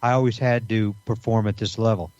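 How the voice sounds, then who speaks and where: A man in his fifties co-hosting a podcast, talking in halting casual chat full of fillers. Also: lowish voice, steady tone, darkish tone, dry recording. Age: 50s. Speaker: podcast co-host. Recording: dry, dull lo-fi sound.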